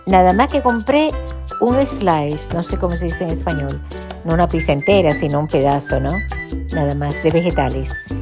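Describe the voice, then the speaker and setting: Female vlogger raised in Los Angeles, rambling and chatty, handheld phone audio with music underneath. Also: mid-range voice. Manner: chatty, rambling, changes topic often, laughs at herself. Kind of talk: casual vlog walking tour. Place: outdoor street corner at night.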